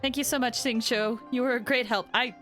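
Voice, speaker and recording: A woman's voice: fairly high pitch, fairly even intonation, fairly quick. A woman livestreaming a game, chatting casually and reacting in a friendly way to the story and her viewers. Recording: game music behind voice. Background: game music.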